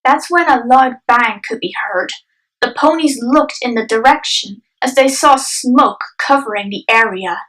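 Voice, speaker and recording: A woman's voice: fairly high pitch, unhurried, fairly bright tone, far from the mic, low-quality recording. A young adult woman reading scripted narration for a dramatic story.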